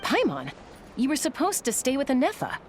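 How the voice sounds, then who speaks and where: A woman's voice: high voice, huge pitch swings, a little fast, noisy background. A woman playing a loyal, resolute young scout in a video game, frank, earnest and firm. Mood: concerned.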